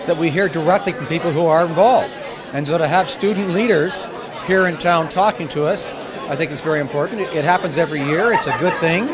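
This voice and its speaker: A man who is a member of Parliament, answering interview questions casually with restarts and fillers. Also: a little high. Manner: halting, with many restarts and fillers.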